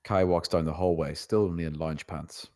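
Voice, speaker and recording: A man's voice: sits low, fairly even intonation, normal speed, mellow. A man narrating a screenplay, reading out the scene directions.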